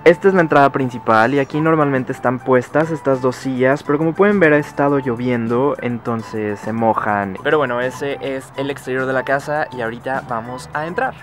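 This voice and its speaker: A man's voice: mid-range voice, moderate pace, faint music behind. Giggly Mexican man filming himself. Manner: chatty, giggly, affectionate. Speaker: vlogger. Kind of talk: casual house tour vlog. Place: mobile home, indoors and outdoors. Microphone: handheld camera at arm's length.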